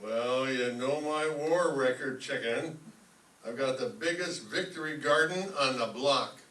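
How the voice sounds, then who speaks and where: A man playing a boastful, swaggering, slangy lowlife boyfriend in an old-time radio comedy. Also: unhurried, sharp tone. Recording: echoey stage, distant microphone.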